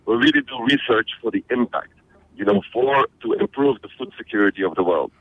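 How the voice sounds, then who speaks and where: A man's voice: fairly low pitch, animated, brisk, husky, no echo. A man from Belgium, a guest in a radio interview, talking energetically and often repeating words as he starts a sentence. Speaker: interview guest, conference organizer. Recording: tinny phone-like radio line.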